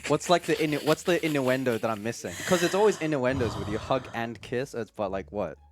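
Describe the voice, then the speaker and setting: A man's voice: mid-range voice, animated, fairly quick, noisy background. A man co-hosting a podcast with casual banter. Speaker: podcast co-host.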